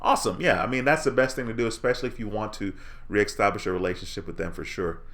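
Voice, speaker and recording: Relaxed low-voiced man hosting a stream. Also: quick pace. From Jamaica. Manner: relaxed, friendly, reads viewer comments aloud and answers. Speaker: live stream host. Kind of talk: casual live-stream chat reading comments.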